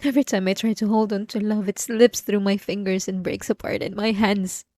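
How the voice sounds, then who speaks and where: A woman playing an obsessive, remorseful wife in an intimate ASMR roleplay, confessing in a halting, tearful way close to the microphone. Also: medium pitch, steady tone, mellow, dry recording, lightly acted. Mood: despairing, hushed. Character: obsessive, possessive wife, remorseful, delusional and tormented. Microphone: close microphone, dry.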